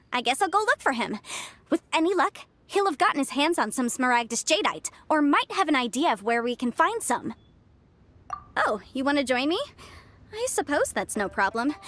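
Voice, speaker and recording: Young female legal advisor, video game, high voice, chatty, polished, confident, music behind. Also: sing-song, fairly bright tone. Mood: thoughtful, brisk. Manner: talkative, brisk, polished, breezy. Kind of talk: video game character acting.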